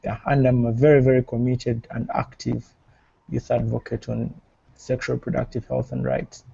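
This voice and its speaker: A man's voice: medium pitch, level tone, moderate pace, creaky, mellow, husky, no echo. A man from Uganda introducing himself as a youth health advocate on a video call, hesitating with many fillers. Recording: muffled video call audio.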